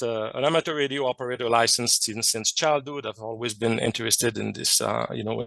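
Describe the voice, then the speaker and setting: Gravelly French Canadian man presenting. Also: medium pitch, fairly bright tone, no room sound. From Quebec, Canada. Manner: hesitant, many fillers and restarts. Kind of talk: technical presentation.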